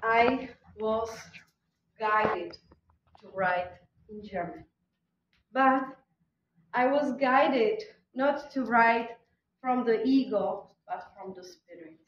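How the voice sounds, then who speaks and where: Slow Czech woman speaking in an echoey hall. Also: fairly high pitch, slight background noise. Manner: slow, reflective, halting phrasing with pauses. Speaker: conference speaker. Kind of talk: spiritual talk at a conference. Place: hotel conference hall. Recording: distant, dull, echoey hall recording.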